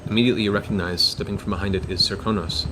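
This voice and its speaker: A man's voice: fairly low pitch, steady tone, racing, music in the background. A man narrating a tabletop fantasy game as game master, with dramatic, descriptive storytelling.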